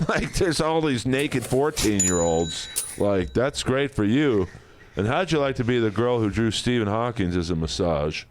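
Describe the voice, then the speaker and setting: A man's voice: medium pitch, expressive, a little slow, slight background noise. A man, a comedian guest on a podcast, riffing crude jokes in casual, rambling banter.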